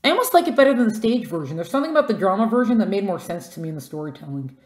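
A woman with a medium-pitched voice interviews a guest in an informal, chatty way. The recording is noticeably roomy, with echo around her voice. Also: sing-song, racing. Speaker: podcast host interviewer. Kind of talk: casual interview chat. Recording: roomy, echoing sound.

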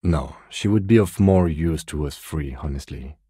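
An actor playing a composed, weary police detective in a video game, with dry, deadpan, measured delivery. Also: male voice, a bass voice, husky, no room sound. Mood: weary, matter-of-fact.

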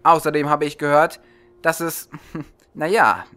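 A man's voice: a little high, unhurried, pushed and bright. A man voicing a cheeky, mercenary young spy with polite slyness in a fantasy audiobook. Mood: sly.

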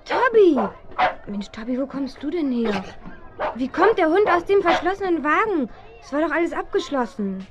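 A woman playing a lively, curious teenage girl detective in a German children's radio play, exclaiming eagerly. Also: high voice, moderate pace, noisy background. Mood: surprised, urgent. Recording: muffled 1970s radio play recording.

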